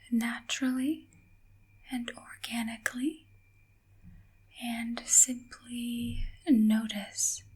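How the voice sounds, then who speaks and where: A woman softly whispering a guided ASMR meditation in a gentle, calming manner, leaving long pauses between short phrases. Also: on the high side. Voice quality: soft, breathy whisper.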